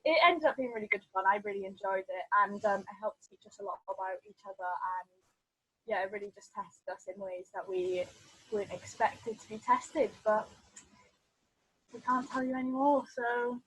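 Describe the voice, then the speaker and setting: A nineteen-year-old woman, chatting about her experiences in an upbeat way. Her voice is slightly husky and breathy. Her pitch is middling for a woman. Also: moderate pace, fairly bright tone, low-quality recording. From Wales. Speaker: gap-year team member. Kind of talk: casual group presentation.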